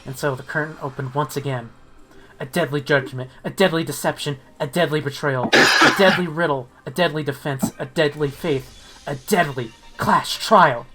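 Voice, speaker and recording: A man's voice: fairly high pitch, normal speed, music in the background. A man playing a game, reading its text aloud and chatting casually as he goes. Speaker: game player and streamer.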